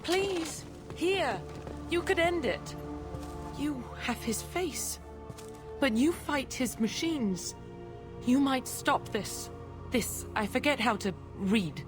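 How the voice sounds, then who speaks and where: An actress playing a dazed female castaway in a video game, pleading in halting fragments. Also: on the high side. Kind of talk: scripted video game dialogue. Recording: loud game music behind.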